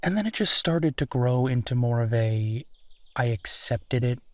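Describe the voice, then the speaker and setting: A man playing a friendly, easygoing werewolf boyfriend in human form, talking in a musing, conversational way with hesitant pauses in an intimate roleplay audio. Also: mid-range voice, animated, natural voice. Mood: reflective, candid.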